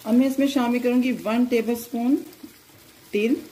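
Calm woman narrating a recipe. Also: a little high, moderate pace, slight background noise. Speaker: cooking channel host. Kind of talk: step-by-step recipe voice-over.

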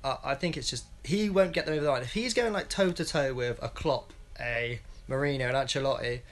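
A man's voice: mid-range voice, a little fast. A young man in his twenties giving a blunt, rambling football opinion vlog from a small room at home on an earphone mic. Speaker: football pundit vlogger. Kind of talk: casual solo football analysis vlog. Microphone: wired earphone mic.

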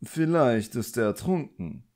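Guy doing a smooth posh evil wizard, mellow, condescending, audiobook style. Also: mid-range voice, sing-song, unhurried, pitched above the performer's own voice. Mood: smooth, authoritative. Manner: suave, politely commanding. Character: elegant, smooth, condescending male villain sorcerer. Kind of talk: audiobook character acting.

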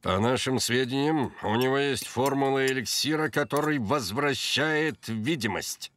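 A man voicing a formal, commanding but easily startled president in an animated series. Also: medium pitch, a little slow, a little hiss or hum, lightly acted. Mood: grave, businesslike. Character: official, easily startled male cartoon president. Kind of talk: animated series character acting.

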